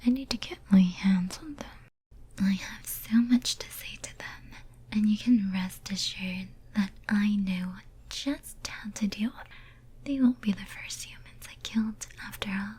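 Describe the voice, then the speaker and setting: A woman playing a lonely, devoted, possessive female demon in an ASMR roleplay, whispering tenderly and intimately. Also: mid-range voice. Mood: quietly menacing. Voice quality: soft breathy whisper. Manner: tender, clingy, intimate, slow and halting.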